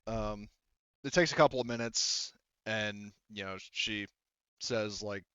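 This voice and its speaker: A man working as game master, narrating a tabletop game in a casual, halting, conversational way. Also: lowish voice, a bit bright, clean tone, no echo, highs cut off.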